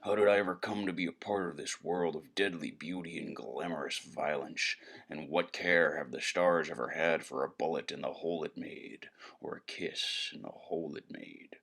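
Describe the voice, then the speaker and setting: An actor playing a world-weary, wisecracking private eye, narrating in hard-boiled noir style with a gravelly voice. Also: male voice, extremely low pitch, steady tone, moderate pace, few pauses, fairly bright tone, full character voice. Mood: brooding. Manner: brooding, evasive wordplay.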